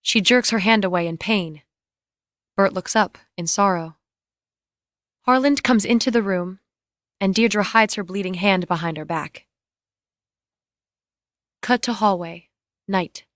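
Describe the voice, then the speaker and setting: A woman narrates a script scene by scene, reading the directions plainly and in clipped phrases. Her pitch is medium. The sound is close and dry. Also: unhurried, long pauses, a bit bright, no room sound. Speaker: reader of stage directions.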